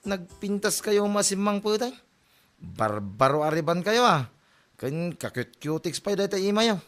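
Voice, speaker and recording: Guy as nagging son, kinda high male voice, teasing n exasperated, comedy drama. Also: big pitch swings, a little slow, a bit bright, soft background music, heavily acted. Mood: teasing surprise. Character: nagging, teasing young Ilocano son scolding his mother. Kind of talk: Filipino comedy audio drama.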